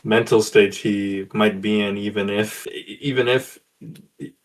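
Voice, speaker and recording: Man, lowish pitch, tabletop roleplayer, improvised in-character speech, deliberate and halting delivery. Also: steady tone, poor audio. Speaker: player in a tabletop roleplaying game.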